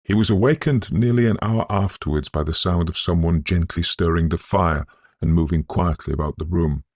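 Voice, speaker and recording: Very deep husky man narrating. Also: darkish tone, no room sound. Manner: measured, scholarly storytelling. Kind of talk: literary audiobook narration of a Victorian ghost story.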